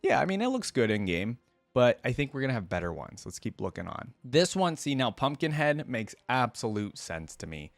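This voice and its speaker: A man's voice: mid-range voice, big pitch swings, moderate pace, gravelly, no room sound, faint music behind. A man hosting a reaction video, giving casual, informal off-the-cuff commentary and thinking aloud as he goes. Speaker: video host reacting to game content.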